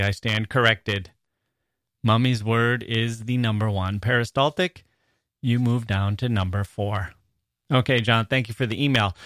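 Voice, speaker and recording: A man's voice: on the low side, fairly bright tone, dry recording, studio quality. A man hosting a podcast with light, playful banter in a mock-formal manner. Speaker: podcast host.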